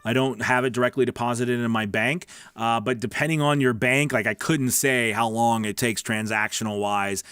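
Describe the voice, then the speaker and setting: A man's voice: medium pitch, steady tone, normal speed, few pauses, fairly bright tone, smooth, dry recording, studio quality. A man hosting a live coaching stream, answering viewer questions in a chatty, informal way and often checking that listeners follow. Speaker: host of a live weekly voice-over coaching stream. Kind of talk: casual livestream Q&A, reading and answering viewer comments.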